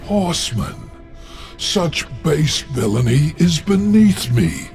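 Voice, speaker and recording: A cunning demon lord for a game, real slow and gravelly, posh grandiose talk. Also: male voice, a little high, sing-song, darkish tone, soft background music, exaggerated voice. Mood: haughty, amused. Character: proud, cunning demon lord under siege. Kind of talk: video game cutscene acting.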